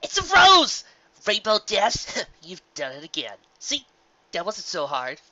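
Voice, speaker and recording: A man's voice: high voice, huge pitch swings, unhurried, bright, forceful tone, lo-fi sound, voice pushed up, heavily acted. A man voicing a brash, boastful young female pegasus pony with hidden insecurity, in a cocky, emphatic storybook manner. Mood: excited, cocky. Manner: cocky, emphatic self-pep-talk.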